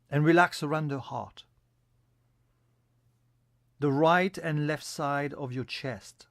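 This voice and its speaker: A man giving a guided yoga nidra meditation as an instructor, in a calm, soothing, instructional manner. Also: a little high, dry recording, clean studio sound.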